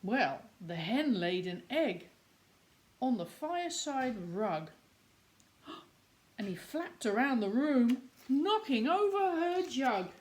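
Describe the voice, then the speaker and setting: A woman reading a picture book aloud to young children in an expressive way, with chatty explaining asides. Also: fairly high pitch, slow pace.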